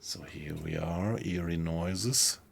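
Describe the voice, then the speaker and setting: A low, gravelly man's voice with a German accent, taking his time as he talks through a survival game live. He chats easily with his viewers and reacts to what he finds while thinking aloud. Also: slow pace, a little hiss or hum. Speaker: game streamer. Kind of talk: live gameplay commentary, chatting with viewers.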